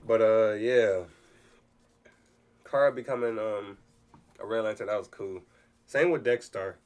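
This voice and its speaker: Low-voiced man, casual commentary. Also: unhurried. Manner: hesitant, self-correcting, informal. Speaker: host of a read-along reaction.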